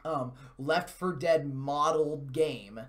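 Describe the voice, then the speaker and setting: A man's voice: a little high, slow pace, fairly bright tone. Chatty man hosting a gaming stream. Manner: chatty, self-deprecating, mildly sweary. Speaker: live stream host. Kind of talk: casual gaming news commentary.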